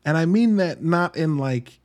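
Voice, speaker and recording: A man's voice: on the high side, animated, unhurried, darkish tone. A man hosting a podcast solo, talking through a movie review in a wry, conversational way with emphatic asides. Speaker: podcast host.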